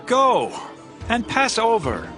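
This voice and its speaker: A gracious but commanding king from a Bible audio drama. He declaims in a high-pitched voice over prominent music. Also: wildly swooping pitch, slow pace, pushed and bright, exaggerated voice.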